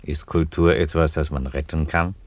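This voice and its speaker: An actor in a German radio play as an unhurried, ironic Roman emperor, speaking in a dry, deadpan way. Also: male voice, extremely low pitch, no room sound. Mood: resigned, dry.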